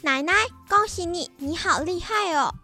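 A woman's voice: very high voice, moderate pace, sharp tone, soft background music. A young female performer playing a sweet, curious granddaughter in a children's radio drama. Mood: cheering.

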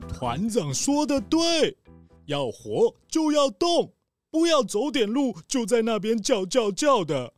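A woman's voice: fairly high pitch, big pitch swings, takes it slowly, no echo, soft background music. A woman voicing a big, strong, simple-minded male bear, good-natured and a little boastful, in a children's mystery audio drama. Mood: scolding.

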